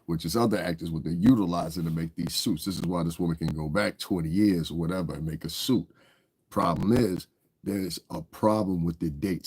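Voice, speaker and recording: Deep husky man, congested with a cold. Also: darkish tone. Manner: rambling, talks straight to viewers, often asks "you understand". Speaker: livestream commentator. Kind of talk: casual livestream commentary.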